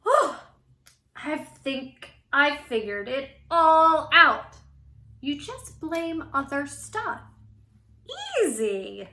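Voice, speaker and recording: A defensive little schoolkid from a children's story, put on by a woman, in a high, bright voice. The excuses are whiny and dramatic, drawn out slowly. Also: big pitch swings, roomy sound, full character voice. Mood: smug. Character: defensive young schoolkid blaming everything else.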